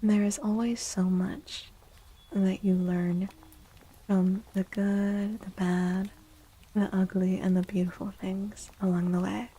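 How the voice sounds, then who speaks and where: A woman speaking softly and soothingly in a whispery, breathy voice, as an ASMR livestream host. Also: mid-range voice, flat delivery, rough recording. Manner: gentle, soothing, unhurried. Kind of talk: soft-spoken ASMR, tarot reading and chatting with viewers.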